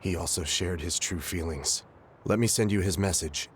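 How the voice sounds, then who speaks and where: Very deep, courteous bereaved brother played by a man. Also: moderate pace, noisy background, lightly acted. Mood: subdued, apologetic. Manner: formal, polite, composed. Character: courteous, sorrowful bereaved brother, dignified and forgiving. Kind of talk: English video game voice acting. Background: loud background music.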